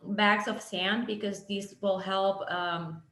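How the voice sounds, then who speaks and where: A woman in her thirties with a Lebanese accent, sharing memories in a warm, reflective way over a video call. Her voice sits at an ordinary middle pitch. The room around her sounds echoey. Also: fairly even intonation, slow pace. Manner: warm, reflective storytelling with small laughs. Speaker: guest, minister in training. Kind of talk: casual webinar conversation. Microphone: video call mic.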